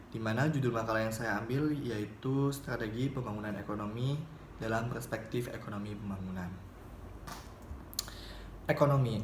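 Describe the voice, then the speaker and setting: A young man speaks in a medium-pitched voice, presenting a paper as a student would. His delivery is semi-formal and explanatory but halting, with repeated phrases. The room echoes around him, with faint noise behind. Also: a little mellow. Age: young adult. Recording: roomy echo, faint background noise.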